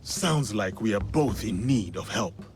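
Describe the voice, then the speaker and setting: An actor playing a stoic, honorable samurai in a video game, speaking in a terse, grave and formal manner. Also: male voice, lowish voice, expressive, a little slow, noisy background. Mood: grave, earnest. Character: stoic, honorable male samurai warrior, principled and guarded. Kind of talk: video game cutscene acting.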